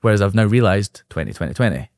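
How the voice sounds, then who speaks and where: Fast-talking man in his thirties. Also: fairly low pitch, expressive, a little mellow, dry recording, studio quality. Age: 30s. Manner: quick, upbeat, thinks aloud with restarts. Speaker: guest, real estate brokerage owner. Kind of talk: casual business interview.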